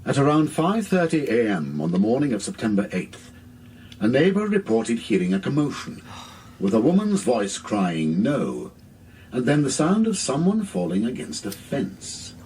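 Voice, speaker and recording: Radio drama bit part: storytelling true-crime narrator, highly animated intonation, slight background noise. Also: male voice, moderate pace. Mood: grave, dramatic. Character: male documentary narrator recounting grisly true crime. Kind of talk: scripted narration.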